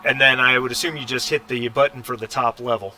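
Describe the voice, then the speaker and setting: A man running a tabletop role-playing game, improvising narration and casual table talk in a halting way with drawn-out pauses. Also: mid-range voice, steady tone, bright, forceful tone, a little hiss or hum. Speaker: game master.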